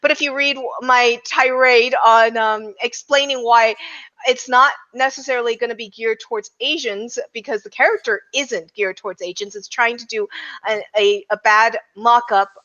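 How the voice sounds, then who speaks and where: A woman's voice: on the high side, fairly even intonation, normal speed, bright, forceful tone, highs cut off. A woman chatting casually as a podcast panelist, explaining things in a wry, giggly way. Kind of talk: casual roundtable chat.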